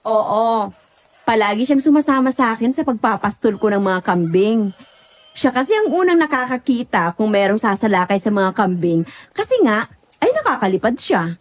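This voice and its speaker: A woman's voice: a little high, sing-song, moderate pace. A woman playing a feisty, chatty country goat herder in an audio horror drama, speaking expressively. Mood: friendly.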